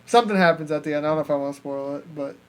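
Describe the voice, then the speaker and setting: A man's voice: fairly high pitch, very fast, a little hiss or hum, low-quality recording. A man hosting a podcast, casually reviewing films in a rambling, sweary way. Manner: rambling, profane, filler-heavy storytelling. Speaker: podcast host. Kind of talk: casual movie review chat.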